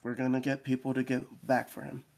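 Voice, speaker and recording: A man voicing a gentle, understanding raccoon in a visual novel, consoling and plain-spoken, with an old grief. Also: medium pitch, flat delivery, fairly quick, gravelly, lightly acted.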